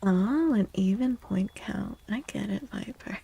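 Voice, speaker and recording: A woman with a soft, dark-toned voice and a gravelly fry talks in a gentle ASMR style. She takes her time, chatting casually and encouragingly with viewers as a streamer. The sound is close and very dry. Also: medium pitch, unhurried, no echo. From United States, born to Mexican parents. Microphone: close, dry microphone.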